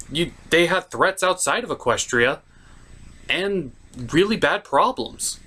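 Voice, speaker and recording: Male review host, theatrical and emphatic, very animated pitch, scripted commentary with skits.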